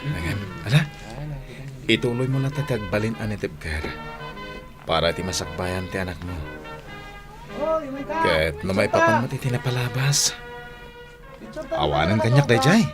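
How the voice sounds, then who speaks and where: A man's voice: fairly low pitch, expressive, unhurried, pauses often. An actor playing an earnest, forgiving young male graduate in a radio drama, speaking conversationally with an Ilocano Filipino accent. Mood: grateful, forgiving. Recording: music behind dialogue.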